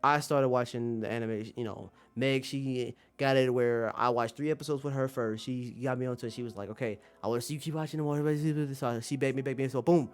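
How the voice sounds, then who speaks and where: A man's voice: medium pitch, steady tone, a little fast, few pauses, clean tone. A man talking casually to his viewers as a streamer, rambling in an emphatic, slangy way and repeating phrases for emphasis. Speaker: streamer and manga commentator.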